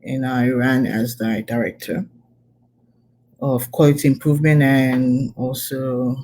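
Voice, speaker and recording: A woman from Cameroon, a guest on a talk show, describes herself. She speaks slowly in a mellow, darker voice. She pauses often with fillers. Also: rumbling low, steady tone. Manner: hesitant, with frequent fillers. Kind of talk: interview, personal account.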